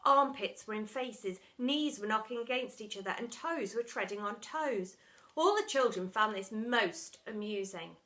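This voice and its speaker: A woman's voice: fairly high pitch, animated, a little slow, pushed and bright. A woman narrating a children's story in a dramatic storytelling style, as for primary school pupils.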